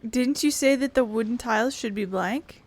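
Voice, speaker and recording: A woman's voice: a little high, normal speed. A woman hosting a casual let's play, reading game text aloud and adding her own comments. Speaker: gaming video host. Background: game music.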